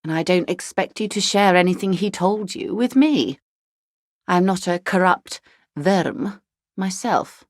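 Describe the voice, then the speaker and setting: A woman playing a shrewd, wealthy older negotiator in a video game, speaking in a polished, wry, measured way. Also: on the low side, no room sound, clean studio sound, lightly acted. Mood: knowing, insinuating. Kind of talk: video game character dialogue.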